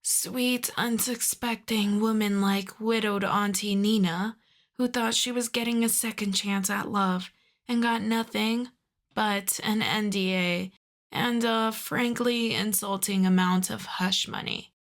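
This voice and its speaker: Woman playing a slow-talking, wry female mafia boss. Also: medium pitch, fairly even intonation. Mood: wry, apologetic. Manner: wry, sweary, conversational, addresses listener as darling. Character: apologetic, exasperated female mafia boss, sardonic but courteous. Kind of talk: roleplay audio drama, ASMR.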